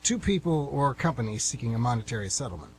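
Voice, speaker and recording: A man playing an indignant, civic-minded older gentleman on jury duty in an amateur radio play, with a lecturing manner. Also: medium pitch, very animated, fast talker. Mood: righteous. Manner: lecturing, righteous. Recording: amateur radio, faint background hum.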